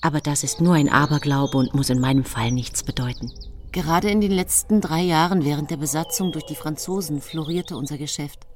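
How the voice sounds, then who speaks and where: A woman's voice: low-pitched, fairly quick, darkish tone, close to an everyday voice. An actress playing an outwardly pious, secretly cruel young bourgeois wife in a German radio play, telling her story with genteel self-satisfaction. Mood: self-satisfied.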